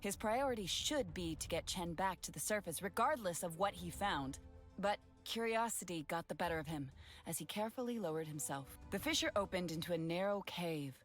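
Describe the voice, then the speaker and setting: A woman giving scripted story narration in a steady, even reading voice. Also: on the low side.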